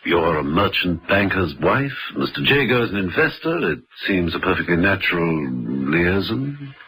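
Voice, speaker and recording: A man playing a suave, flirtatious gentleman agent in a radio drama, urbane, gallant and teasing. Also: low-pitched, lively intonation, moderate pace, full character voice, poor audio. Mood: suave flirtation.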